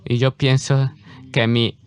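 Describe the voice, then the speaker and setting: A man interpreting a guest preacher's sermon for a congregation, speaking haltingly with frequent fillers. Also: medium pitch, little pitch movement, darkish tone. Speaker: church interpreter.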